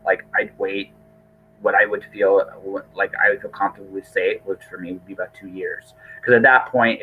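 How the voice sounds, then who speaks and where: A man's voice: fairly low pitch, sharp tone, breathy edge, rough recording. A man hosting a podcast in casual conversation, talking informally and often repeating and rephrasing himself. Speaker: podcast host. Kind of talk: casual interview chat.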